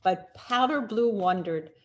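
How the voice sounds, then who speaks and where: A woman reading a children's picture book aloud in an expressive, deliberate storytelling manner with dramatic pauses. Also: mid-range voice, muffled recording. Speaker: storyteller, rabbi.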